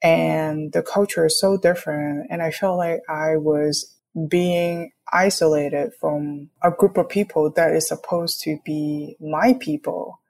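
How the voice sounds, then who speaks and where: Low-pitched young woman from Hong Kong. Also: fairly even intonation, unhurried, vocal fry, a little mellow. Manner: explanatory, often checks with "right?". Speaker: artist, interview guest. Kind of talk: conversational interview, reflective.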